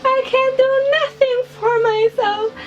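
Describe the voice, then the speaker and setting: Woman putting on a very high-pitched helpless princess. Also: steady tone, a little slow, dull audio, faint music behind. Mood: helpless, pleading. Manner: whiny, swooning, mocking parody. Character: helpless, melodramatic young fairy-tale princess.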